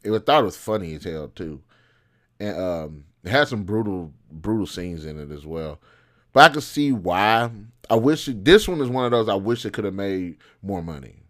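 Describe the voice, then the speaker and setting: Low-voiced man giving casual blunt commentary. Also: sing-song, moderate pace. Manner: blunt, informal, slangy. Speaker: video host. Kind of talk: casual opinionated film commentary.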